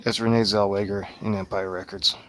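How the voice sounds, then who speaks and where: Lower-pitched man in casual chat. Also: level tone, a little fast, fairly bright tone. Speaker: co-host or guest. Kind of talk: casual chat, film review.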